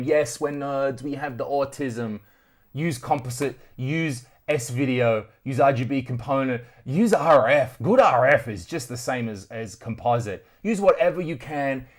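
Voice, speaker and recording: Casual Australian man telling a story. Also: mid-range voice. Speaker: retro tech video host.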